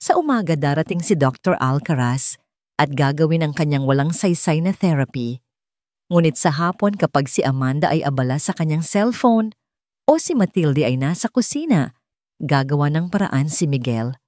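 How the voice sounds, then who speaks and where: A woman's voice: sits low, lively intonation, moderate pace, warm tone, dry recording, studio quality. A woman reading a scripted dramatic story as an audiobook narrator.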